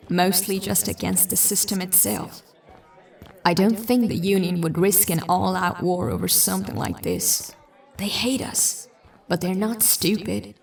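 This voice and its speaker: A determined female detective in a sci-fi audio drama, played by a woman. She thinks aloud in a dry, sceptical way, with a fry-edged voice at middle pitch. The voice sits slightly away from the microphone. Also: recorded from a distance. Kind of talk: audio drama dialogue and investigative monologue.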